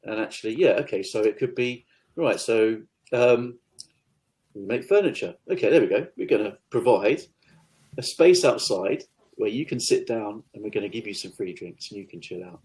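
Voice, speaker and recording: A man hosting a podcast interview, agreeing keenly and thinking out loud. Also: fairly low pitch, animated, normal speed. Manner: enthusiastic, agreeable, thinks aloud. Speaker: podcast host. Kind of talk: casual interview chat.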